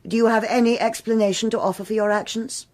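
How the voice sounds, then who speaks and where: An actress playing a stern, authoritative police superintendent who speaks in a measured, formal way. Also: female voice, on the high side, steady tone, darkish tone, close to an everyday voice. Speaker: senior police officer. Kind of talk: radio crime drama acting.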